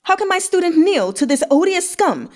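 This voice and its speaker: A woman's voice: very high voice, very animated, moderate pace, darkish tone, pitched above the performer's own voice. A woman voicing a proud, furious old male scholar in an impassioned, haughty storybook read-aloud. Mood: boiling outrage. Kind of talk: dramatic read-aloud of a historical novel.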